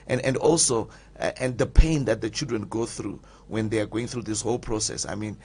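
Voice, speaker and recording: A man's voice: fairly low pitch, steady tone, fairly quick, darkish tone, slightly rough. A man on a radio dad panel, talking earnestly and repeating words as he thinks. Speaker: panelist, father.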